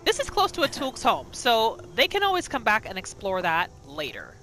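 A woman narrates her video game roleplay in a high, lively voice. She reacts out loud and asks rhetorical questions, with a bright edge to her sound and light game music underneath. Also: moderate pace. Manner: exclaims, asks rhetorical questions, speaks for the character. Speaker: gamer narrating a roleplay playthrough. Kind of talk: reactive gameplay commentary.

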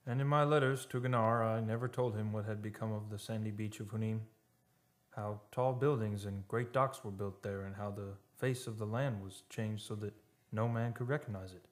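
An actor playing a loyal, solemn friend in a radio play, speaking in formal, poetic phrases. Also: male voice, fairly low pitch, steady tone, moderate pace, natural voice. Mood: wistful, somber. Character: Don, loyal solemn friend, male narrator of a haunting tale. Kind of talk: old-time radio drama acting. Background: music behind the voice.

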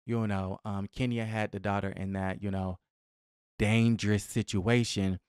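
A young man talks as a casual gossip commentator with a smooth, clear voice at a medium pitch. His delivery is sassy and emphatic, full of conversational slang. He sits close to a podcast mic, with a dry, tight room sound. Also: no room sound. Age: young adult. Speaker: host of a celebrity gossip commentary channel. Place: small indoor room at home. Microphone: close boom-arm podcast microphone.

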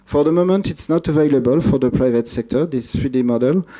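Hesitant man with a mellow voice. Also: medium pitch, brisk. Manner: hesitant, many uh fillers and restarts. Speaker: conference speaker. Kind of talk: conference Q&A answers. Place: large dark conference hall.

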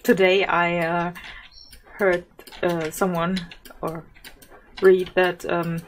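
A German woman talks slowly and softly over her gameplay, her throat a little sore. She muses aloud with fillers and small laughs, and faint keyboard clicks sit under her voice. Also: lowish voice, little pitch movement, a little hiss or hum. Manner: soft-spoken, thinks aloud with many fillers and little laughs. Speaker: gaming channel host. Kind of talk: casual gameplay commentary.

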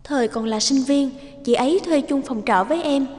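Actress as young animated heroine, earnest and easily flustered, high-pitched. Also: female voice, moderate pace, soft background music, close to an everyday voice. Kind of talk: cartoon dialogue.

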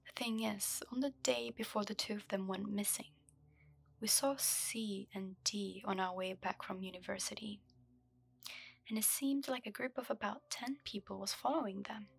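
A young woman reading a story aloud in a slow, soft, breathy ASMR whisper, her voice low. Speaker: ASMR host. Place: dark room lit by a candle.

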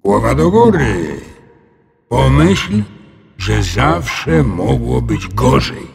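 A man's voice: mid-range voice, sing-song, drawn-out words, gravelly, a little mellow, husky, pitched above the performer's own voice, exaggerated voice. A man voicing a big, friendly, bumbling male dragon in a children's audio story, with hesitant, drawling, sheepish speech. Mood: soothing.

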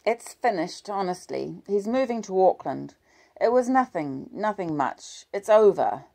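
A woman voicing a distraught mother who turns sharp and accusing, her voice yelping into shouts. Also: animated, measured pace, gravelly. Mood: bitter, pleading. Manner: shrill, breaking into yells.

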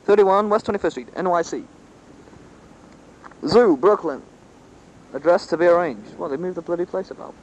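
A man's voice: sits high, normal speed, long pauses, dull audio. A young man with a Manchester accent, making jokey asides in casual backstage chat. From England. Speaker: drummer in a band. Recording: noisy old cassette tape.

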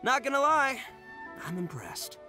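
A man's voice: extremely high pitch, huge pitch swings, a little slow, music in the background, full character voice. A man voicing a brash, boastful, big-hearted young oni gang boss in a video game, cocky and slangy. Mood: impressed.

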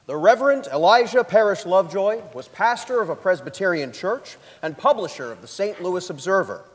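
A man's voice: sits high, animated, brisk, big-room sound. A man reading scripted dramatic narration on a theatre stage.

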